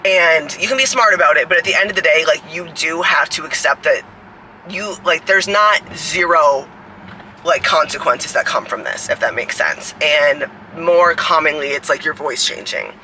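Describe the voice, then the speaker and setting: A woman in her mid-twenties with a deep, raspy voice, rambling casually to a phone camera outdoors, full of "like" fillers and swearing. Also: normal speed, sharp tone, slight background noise, low-quality recording. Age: 20s. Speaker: fitness coach and creator. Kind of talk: casual vlog talk to camera.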